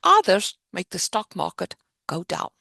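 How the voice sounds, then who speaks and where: A woman's voice: lowish voice, wildly swooping pitch, measured pace, gravelly, bright, forceful tone, no room sound, studio quality. A woman with a South African accent, founder of a finance program, giving an upbeat, emphatic presentation on a video call. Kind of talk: virtual fair presentation.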